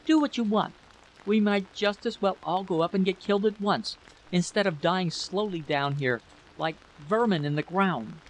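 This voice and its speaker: A man's voice: high voice, normal speed, pitched above the performer's own voice, close to an everyday voice. A man voicing an anxious, bitter wife in a story reading, pleading emphatically over a noisy recording. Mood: bitter, resentful. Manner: pleading, emphatic, repeating questions.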